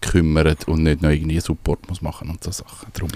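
Deep-voiced Swiss German man, casual and rambling. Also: little pitch movement, fast talker, a little mellow, dry recording. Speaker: radio show co-host and small business owner. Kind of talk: casual radio chat.

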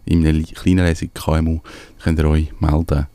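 A man's voice: rumbling low, little pitch movement, fast talker, dark tone, no room sound. A man with a Swiss German accent chatting casually as a radio co-host, rambling and searching for his words. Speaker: radio show co-host and small business owner.

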